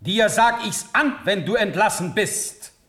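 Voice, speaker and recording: An actor playing a stern but magnanimous middle-aged ruler in a classical verse radio drama, speaking with solemn, measured authority. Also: male voice, sits high, lively intonation, pushed and bright, full character voice. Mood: stern, challenging. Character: stern, magnanimous ruling prince, measured and commanding.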